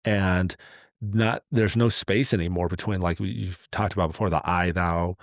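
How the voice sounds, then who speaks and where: Middle aged guy on a podcast, low voice, dry close sound, earnest, kinda halting. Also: steady tone, no room sound. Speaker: podcast host. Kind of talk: casual reflective podcast conversation.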